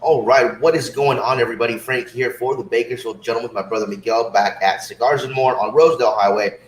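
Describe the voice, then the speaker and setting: A man co-hosting a cigar show, slightly hoarse, chatting casually and rambling with many fillers. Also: mid-range voice, steady tone, quick pace, slight background noise. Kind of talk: casual livestream chat. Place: cigar shop lounge. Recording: roomy shop with faint ambience.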